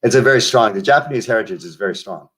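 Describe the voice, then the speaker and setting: An older man from the United States speaks in a lowish voice as an interview guest. He tells his stories slowly and reflectively, doubling back and restarting his sentences. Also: expressive, fairly bright tone. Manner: reflective storyteller, many restarts and asides. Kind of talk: casual interview conversation.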